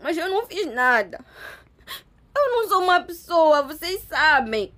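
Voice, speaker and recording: A despairing young woman in a radio drama. Her voice is high and plaintive, close to tears. She sounds wronged and beaten down. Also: big pitch swings, a little slow, fairly bright tone, full character voice. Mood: hurt, pleading. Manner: tearful, plaintive. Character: despairing young woman, a wronged cousin who lost everything.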